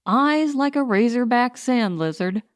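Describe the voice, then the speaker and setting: Clear-voiced, shrewd woman prospector, read by a narrator. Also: fairly high pitch, animated, measured pace, dry recording, clean studio sound. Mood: composed. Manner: composed, wry. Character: self-possessed female Mars prospector, shrewd and defiant. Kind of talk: audiobook character voice.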